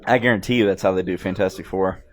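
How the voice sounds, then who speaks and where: A man with a low-pitched voice hosts a casual movie reaction commentary. He talks informally and like a keen fan. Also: fairly even intonation, quick pace.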